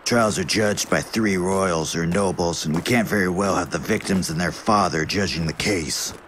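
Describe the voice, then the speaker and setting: A man's voice: low-pitched, normal speed, noisy background, lightly acted. A man playing a pragmatic, authoritative adviser in an audio drama. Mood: measured. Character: pragmatic, authoritative man planning a prisoner's trial.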